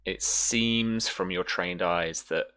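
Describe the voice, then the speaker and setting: Game master, deep pitch, forceful edge, improvised descriptive narration with a halting flow.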